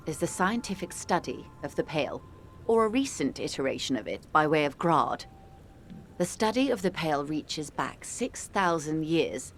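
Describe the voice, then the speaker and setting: An actress playing a composed, wealthy middle-aged corporate negotiator in a video game, speaking in a measured, articulate, world-weary way. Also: female voice, sits low, a little hiss or hum, full character voice. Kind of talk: video game character dialogue.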